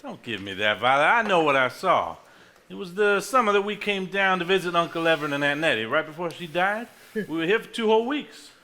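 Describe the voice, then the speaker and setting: A man playing an agreeable, earnest younger brother in a Southern stage drama, animated and insistent. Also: on the high side, a little fast. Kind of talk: live stage drama acting.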